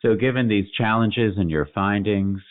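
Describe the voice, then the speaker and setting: A man's voice: lowish voice, moderate pace, clean tone. A man hosting a medical podcast, asking courteous, probing questions with small restarts. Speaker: podcast host, physician. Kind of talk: interview questions. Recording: tinny phone-like line.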